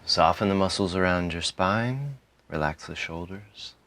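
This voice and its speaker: A man guiding a meditation in a calm, soothing manner, giving soft instructions in short phrases with frequent pauses. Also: low voice. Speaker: meditation guide. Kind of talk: guided meditation, softly spoken instruction.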